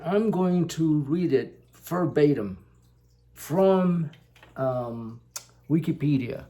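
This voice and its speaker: A man from Indonesia, a native Indonesian speaker, talking slowly to the camera in a casual vlog, pausing often with fillers. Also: medium pitch, mellow. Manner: slow, halting, many fillers. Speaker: vlogger.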